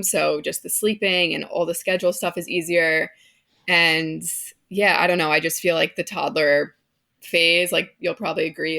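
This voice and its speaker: Guest: woman in her thirties from Hong Kong, low voice with a gravelly edge, candid and matter-of-fact. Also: pushed and bright. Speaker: podcast guest, mother of twins. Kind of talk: casual interview chat.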